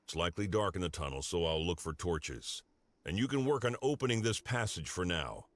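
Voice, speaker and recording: A grave warrior father from a fantasy game. His voice sits low, and he speaks with dramatic earnestness, as a devoted protector who was once a cursed werewolf. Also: animated, a little fast, no room sound. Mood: determined. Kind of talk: scripted video game character dialogue.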